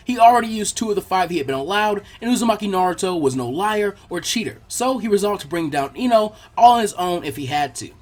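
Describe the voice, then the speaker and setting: Higher-pitched man narrating a story. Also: lively intonation, quick pace, few pauses, darkish tone.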